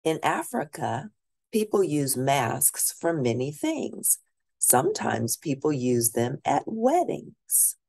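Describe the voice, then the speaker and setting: A woman teacher reading a children's picture book aloud at a deliberate pace, pausing to ask her listeners questions. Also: low voice, very animated, vocal fry, a little mellow, dry recording, studio quality.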